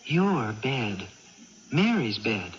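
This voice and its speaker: An actor playing a devoted young brother in a 1980s radio drama, coaxing tenderly and explaining things simply and patiently. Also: male voice, mid-range voice, big pitch swings, drawn-out words. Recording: muffled old radio broadcast, faint noise.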